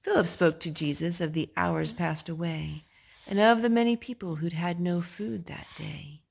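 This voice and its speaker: A woman reading a rhyming children's story aloud in a deliberate storyteller's way, phrased for young children. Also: lowish voice, steady tone, vocal fry, rough recording.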